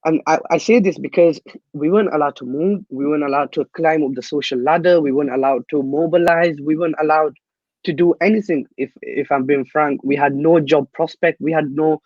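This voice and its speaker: A young man from Myanmar, a guest activist, answering interview questions earnestly and haltingly, with many restarts. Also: fairly high pitch, darkish tone, no echo, dull audio. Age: young adult.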